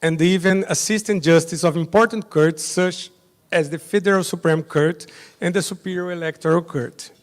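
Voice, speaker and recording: A Brazilian judge whose first language is Portuguese, giving a conference presentation in halting English with short, simple phrases. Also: male voice, on the high side, steady tone. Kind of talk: slide presentation at a summit. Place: large hotel conference hall. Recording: long reverb of a big hall.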